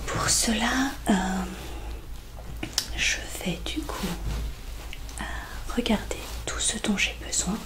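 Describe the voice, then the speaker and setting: A woman whispers softly in an ASMR roleplay as a vet. She takes her time, pausing often between short phrases. Her manner is gentle and reassuring. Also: medium pitch, poor audio. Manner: gentle, reassuring, hesitant pauses. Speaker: ASMR roleplayer playing a veterinarian.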